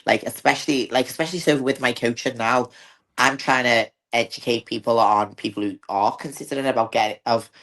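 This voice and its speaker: A woman's voice: a bass voice, brisk, a bit bright. A woman with a Liverpool accent, chatting as a guest in an interview and filling her talk with "like". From north of England. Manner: chatty, many fillers like "like". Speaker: bodybuilder guest. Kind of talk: casual interview chat.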